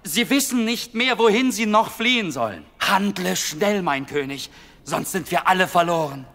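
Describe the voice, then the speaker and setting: A man's voice: high-pitched, animated, moderate pace, fairly bright tone, long reverb tail. A man playing an alarmed, insistent royal messenger in a radio play, speaking urgently. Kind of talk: dramatic radio play acting.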